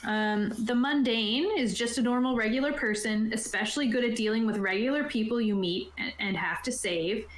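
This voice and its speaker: A woman's voice: on the high side, normal speed, hardly any pauses. A woman casually explaining a tabletop game, partly reading from a rulebook, in an informal, conversational way. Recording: roomy, echoey sound.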